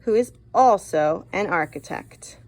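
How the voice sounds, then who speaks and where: A woman reading a picture book to children in an expressive, guiding way, adding comments and questions as a teacher would. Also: lowish voice, unhurried. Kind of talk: read-aloud for children with commentary asides.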